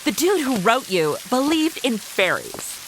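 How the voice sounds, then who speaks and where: A woman playing a flippant, excitable young American sidekick with a sarcastic, slangy way of talking in a comedic audio drama. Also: on the high side, unhurried, noisy background.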